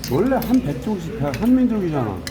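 A man's voice: sits high, very animated, a little fast, a loud crowd. A middle-aged father at a family dinner, explaining things like a lecture. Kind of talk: casual dinner-table chat. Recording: loud background noise.

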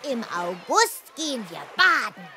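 A woman's voice: big pitch swings, measured pace, sharp tone, a little hiss or hum, exaggerated voice. A woman voicing a cheerful, curious little female snail with a girlish voice in a children's educational cartoon. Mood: happy. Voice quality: high, girlish.

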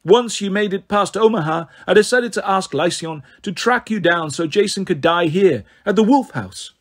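Male narrator as haughty villainess goddess, high-pitched, silky purr, icy, taunting, smug, fantasy storybook. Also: expressive, normal speed. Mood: gloating, condescending. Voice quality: quiet, gentle, purring, very cold. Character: beautiful cold snow goddess, haughty, sadistically smug villainess.

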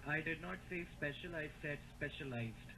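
A man's voice: on the high side, normal speed, bright, forceful tone. A man, speaking firmly and formally as a technical support agent on a phone call. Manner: firm, formal.

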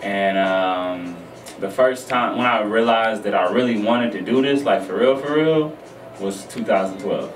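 A man's voice: lowish voice, measured pace, recorded from a distance, faint music behind. A young man speaking casually as a musician guest in a radio studio interview. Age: young adult. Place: small radio studio, indoors. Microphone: studio microphone on boom arm. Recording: roomy studio, noisy, off mic.

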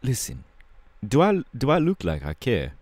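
A man voicing a laid-back but irritable young punk superhero bassist, slangy and drawling, in an audio roleplay. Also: huge pitch swings, moderate pace, darkish tone, no room sound. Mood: irritated, defiant. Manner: slangy, casual, drawling with hesitations.

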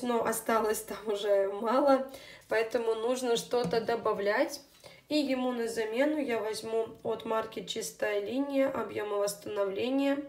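Chatty woman in an echoey room. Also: a little high. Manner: chatty, unhurried, with fillers. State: voice somewhat unwell. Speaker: beauty vlogger. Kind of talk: casual product review. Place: indoors at a table, hands on camera.

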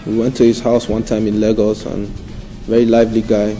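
Easygoing young guy chatting in an interview, kinda low and mellow, soft background music. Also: brisk, rough recording. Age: young adult. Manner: relaxed, easygoing, short modest answers. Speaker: interviewee, footballer's son. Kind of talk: casual interview answers. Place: indoor room.

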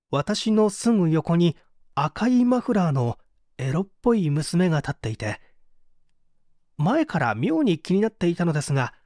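An actor playing a poor, contrite painter making an earnest, theatrical confession in a dramatic audio mystery. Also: male voice, fairly high pitch, darkish tone, no room sound, clean studio sound. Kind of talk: dramatic Japanese audio reading of a mystery story.

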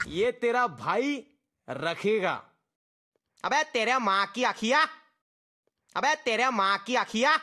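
A performer voicing an excitable teammate in a battle game, barking comic callouts with exaggerated, drawn-out delivery. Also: mid-range voice, heavily acted. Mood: bossy, comic. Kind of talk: shouted in-game voice-pack callouts, Hindi slang.

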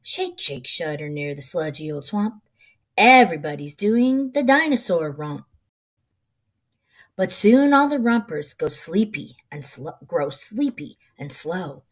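A middle-aged woman reading a children's picture book aloud in an expressive, rhythmic, sing-song way. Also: fairly low pitch, unhurried.

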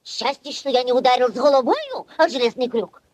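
A furious village sister-in-law in an old radio play. She berates in a very high, shrill voice that swoops wildly, over faint recording noise. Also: big pitch swings, fairly quick, a little mellow. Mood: outraged. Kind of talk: 1940s radio play acting.

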